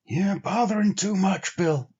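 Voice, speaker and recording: A man's voice: high voice, a little slow, a little mellow, husky, highs cut off, voice pushed up. A man voicing an irritable, sleepy frontier sled driver with folksy speech, in a storybook reading. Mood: sleepy, dismissive.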